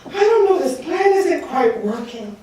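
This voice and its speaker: A woman's voice: high voice, sing-song, a little slow, warm tone, slight background noise, voice pushed down. A woman voicing a lovesick, resentful male god in a myth, grumbling indignantly and muttering as he schemes. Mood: wounded, indignant. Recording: distant mic in a very echoey room.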